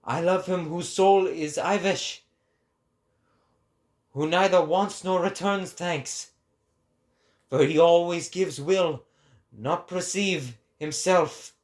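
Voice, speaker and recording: An exalted male prophet, as a man acts him out in a dramatic philosophical reading. He declaims solemnly and very slowly, weighting each phrase with emphasis. His voice sits a little high.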